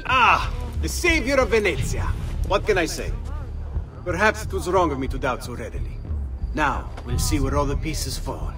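An actor playing an effusive, theatrical Venetian ally in a video game. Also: male voice, on the high side, lively intonation, moderate pace, over music, lightly acted. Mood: delighted.

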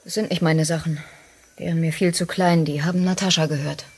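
A woman playing a reserved, brusque character with a husky voice in a science fiction radio drama. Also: low-pitched, fairly even intonation, a little fast, a little mellow. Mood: guarded.

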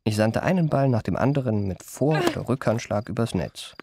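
An actor playing a self-satisfied gentleman impostor who narrates his memoirs in a radio play, in ornate, pompous phrasing. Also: mid-range voice, lively intonation, brisk, vocal fry, noisy background. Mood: wry, self-satisfied.

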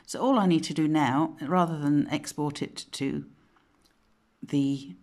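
Low-voiced chatty woman, croaky today. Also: steady tone, measured pace, a little mellow. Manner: chatty, rambling, with frequent self-corrections. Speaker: craft channel host. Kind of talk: casual screen-recorded software tutorial. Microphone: phone camera pointed at a monitor.

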